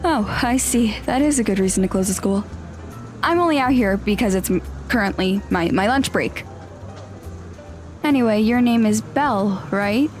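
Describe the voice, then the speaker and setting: A woman's voice: medium pitch, animated, moderate pace, natural voice. A woman voicing a haughty, dramatic teenage girl rival with a pompous, dismissive manner, in an audio drama over faint music.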